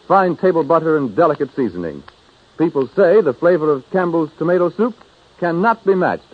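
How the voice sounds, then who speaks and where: A man working as a radio announcer, delivering polished, emphatic advertising copy in 1930s style. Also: fairly high pitch. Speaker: radio announcer and sponsor's pitchman. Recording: muffled 1930s radio broadcast.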